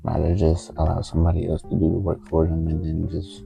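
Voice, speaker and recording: A man recording a heartfelt, halting confessional vlog on a handheld phone in a small closet, with a TV faintly audible from the next room. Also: a bass voice, completely flat delivery, gravelly, dark tone. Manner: halting, heartfelt, stops often to find words. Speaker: vlogger. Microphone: handheld phone camera. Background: faint TV music from the next room.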